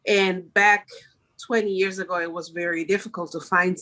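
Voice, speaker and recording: A woman's voice: fairly low pitch, steady tone, moderate pace, bright, forceful tone. Woman being interviewed. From Switzerland. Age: middle-aged. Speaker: podcast guest, makeup artist. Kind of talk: conversational interview answers.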